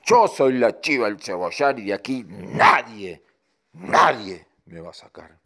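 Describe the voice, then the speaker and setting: A man voicing a stubborn female goat, deliberate and defiant, in a children's story. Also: mid-range voice, big pitch swings, pushed and bright, exaggerated voice.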